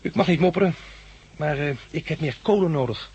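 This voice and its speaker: A man's voice: on the high side, brisk, a little mellow, breathy edge, lo-fi sound. An actor playing a practical, easygoing male survivor in a 1960s radio drama.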